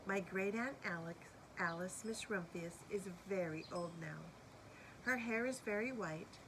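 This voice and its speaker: A woman reads a picture book aloud for young listeners. Her delivery is expressive, with room for asides and questions to whoever is listening. Faint noise sits behind her medium-pitched voice. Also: a little slow. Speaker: storybook reader.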